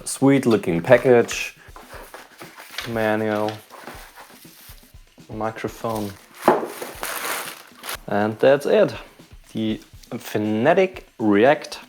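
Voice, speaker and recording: A man hosting a tech channel, giving calm, short remarks in an unboxing voice-over. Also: on the low side, extremely slow, pauses often, noisy background.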